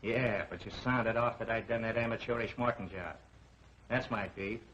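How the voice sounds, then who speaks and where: A man's voice: on the low side, moderate pace, a bit bright, close to an everyday voice. An actor playing a proud, aggrieved tough-guy crook with slangy gangster talk in an old crime film. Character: aggrieved, proud tough-guy safecracker gunman gone straight. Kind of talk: 1930s film acting. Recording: muffled, hissy old film soundtrack.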